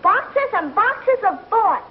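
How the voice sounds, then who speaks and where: A woman's voice: very high-pitched, very animated, takes it slowly, a little hiss or hum, exaggerated voice. A female performer playing a prying, tattling little schoolgirl in an old television western. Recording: dull old TV soundtrack.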